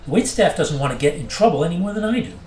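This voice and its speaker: Earnest, exasperated pilot played by a woman, animated delivery. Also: fairly low pitch, rapid-fire, reverberant, loud music behind the voice, close to an everyday voice. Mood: tense disapproval. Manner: earnest, reproachful, hesitant under pressure. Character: anxious, rule-following airline pilot, exasperated and grave. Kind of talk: naturalistic audio drama acting.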